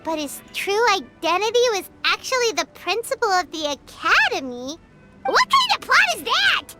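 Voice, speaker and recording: A woman voicing a tiny, excitable, childlike fairy companion for an anime video game, with a squeaky, expressive delivery. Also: normal speed. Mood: reading aloud, skeptical. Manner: talks of self in third person, expressive. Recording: music playing behind the voice.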